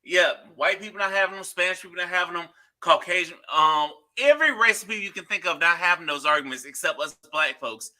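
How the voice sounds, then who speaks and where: A man's voice: high voice, fast talker, hardly any pauses, sharp tone. A man hosting a podcast, talking loosely in casual chat and swearing freely. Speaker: podcast host.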